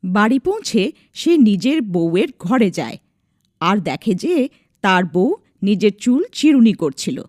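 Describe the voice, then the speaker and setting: A woman's voice: medium pitch, very animated, a little slow, darkish tone, dry recording, clean studio sound. A woman narrating a scripted dramatic story as a storyteller.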